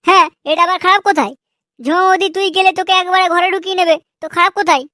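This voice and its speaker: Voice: extremely high pitch, racing, sharp tone, no room sound, studio quality. A performer voicing a quarrelsome, mocking cartoon character in a Bengali comedy dub, with crude scolding delivery. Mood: argumentative.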